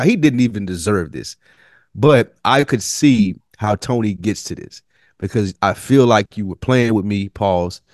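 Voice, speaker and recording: A slangy American man with a slightly low voice talks bluntly as a podcast co-host. His pitch swings widely as he makes his points, and he swears freely in casual review chat. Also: no echo, clean studio sound.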